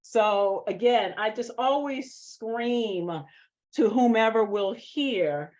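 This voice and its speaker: A woman's voice: fairly high pitch, takes it slowly, a little mellow. An American woman, a screenwriter, speaking emphatically and with passion in a question-and-answer session after a script reading. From Boston, United States. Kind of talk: post-reading Q&A talk. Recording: dull lo-fi sound.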